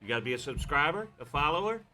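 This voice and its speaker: Man, podcast co-host, sweary, sardonic teasing, slightly high animated voice, casual banter. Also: very fast, low-quality recording. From Maryland, United States.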